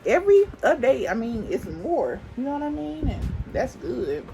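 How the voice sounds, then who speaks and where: A woman's voice: fairly high pitch, sing-song, normal speed, creaky, dark tone. A woman in her twenties chatting casually to camera while doing her makeup, rambling and restarting her sentences, with speech. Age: 20s. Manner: rambling, thinks aloud with many restarts and "like". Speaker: beauty vlogger.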